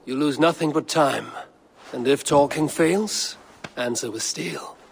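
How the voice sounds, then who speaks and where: A loyal Norse warrior who speaks calmly and with resolve. His pitch is mid-range and even. A faint noise sits under the cutscene. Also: measured pace, gravelly. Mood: resolute. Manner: calm, resolute, respectful.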